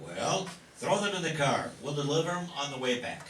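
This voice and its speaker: A man's voice: a little high, big pitch swings, normal speed. A man playing a stubborn, nostalgic family father in an old-time radio comedy. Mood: insistent. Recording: roomy, distant mic, faint noise.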